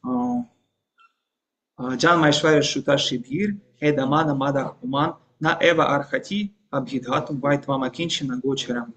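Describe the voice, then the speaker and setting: A man with a Russian accent lectures in careful, hesitant English. He speaks slowly, stopping often for fillers and restarts. His voice sits a little higher than most men's. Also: a bit bright. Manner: halting, frequent fillers and restarts; hesitant non-native English. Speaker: guest lecturer. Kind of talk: scripture class lecture.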